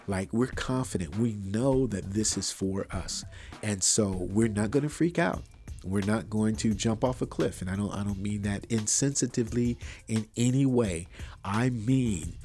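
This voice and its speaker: Earnest, bassy-voiced male podcast host. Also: a little mellow. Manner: earnest, preachy and encouraging, repeats phrases for emphasis. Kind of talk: motivational podcast monologue. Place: untreated room with wires, not acoustically treated.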